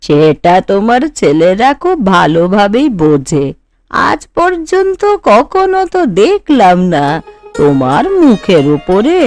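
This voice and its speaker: A woman voicing a chatty older neighbour in a cartoon, gossipy and emphatic, flattering and full of advice. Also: mid-range voice. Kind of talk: Bengali cartoon voice acting. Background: background music.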